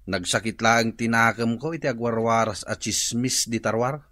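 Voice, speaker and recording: An actor playing a concerned husband in an Ilocano radio comedy-drama, speaking earnestly and conversationally with an Ilocano accent. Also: male voice, mid-range voice, normal speed, pushed and bright, dry recording, lightly acted. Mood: aggrieved. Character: concerned husband, reporting gossip and urging helpfulness.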